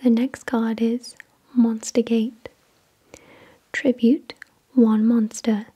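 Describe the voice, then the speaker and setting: A woman whispering softly and breathily in ASMR style, reading aloud in a deliberate, measured way. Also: a little high, flat delivery, breathy edge. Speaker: ASMR video creator and host. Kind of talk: soft-spoken ASMR, reading card text aloud.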